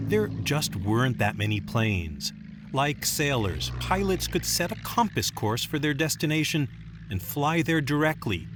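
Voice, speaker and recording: A man's voice: fairly high pitch, noisy background. A man reading scripted documentary narration as the narrator.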